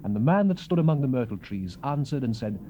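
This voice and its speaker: An actor playing a grave, visionary prophet in a dramatized scripture reading, measured and declamatory. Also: male voice, mid-range voice, sing-song, warm tone, lightly acted. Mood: hushed, awed. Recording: dull, noisy old cassette tape.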